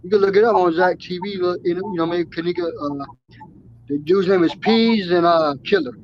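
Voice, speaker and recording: Rambling male guest with a high voice and dull, lo-fi audio. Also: a little fast, slight background noise. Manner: rambling, with many restarts. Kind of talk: casual live show chat.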